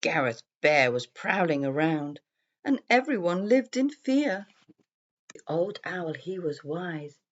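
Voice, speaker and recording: Unhurried woman reading a children's story. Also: fairly low pitch, very animated, lo-fi sound. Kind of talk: children's read-aloud, rhyming scripted narration.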